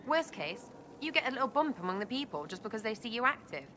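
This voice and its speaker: A cheeky young female elf from a video game with a bright, forceful voice. Her British accent is slangy and irreverent. There is faint ambience behind her. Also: on the high side, very animated, fast, slight background noise. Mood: earnest, scheming. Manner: breezy, slangy, impish. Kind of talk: video game dialogue acting.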